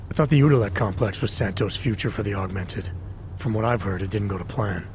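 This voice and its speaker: Game lead, male man actor: lowish pitch, terse, dry, doubtful, guarded questioner. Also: fairly quick, darkish tone, a little hiss or hum, lightly acted. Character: guarded, skeptical, dry-witted male action game hero. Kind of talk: video game dialogue acting.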